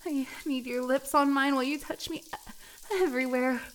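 A young woman voicing a needy, submissive catgirl in an adult audio roleplay, with breathy, sighing, stammering pleas. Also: high voice, steady tone, moderate pace, a bit bright. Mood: soft breathless.

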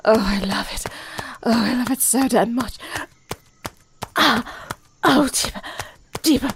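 Woman doing a teasing confident lover, breathy and whispery, takes her time with every word. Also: medium pitch, takes it slowly, background noise. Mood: passionate. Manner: breathy, whispery, moaning delivery. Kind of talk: scripted adult audio roleplay.

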